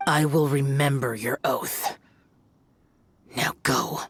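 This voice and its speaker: A man's voice: medium pitch, steady tone, slow pace, vocal fry, fairly bright tone, husky, heavily acted. A man voicing a solemn, reserved young immortal demon slayer in a video game, terse and grave. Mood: stern. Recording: studio voice with game music behind.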